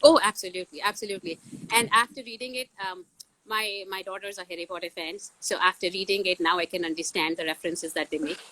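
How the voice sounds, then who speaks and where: Woman, 40s, science teacher, loud booming voice, bright and forceful, laughs often, outdoors in wind. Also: fairly even intonation, fast talker, no echo, low-quality recording. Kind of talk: casual interview answers.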